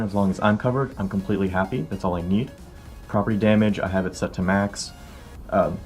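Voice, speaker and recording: Young American male vlogger, early twenties, lowish pitch, informal explainer delivery, music underneath. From California, United States. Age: early 20s. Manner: informal, conversational. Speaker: car vlogger. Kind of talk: casual explanatory vlog talk to viewers. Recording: music behind the voice. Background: background music.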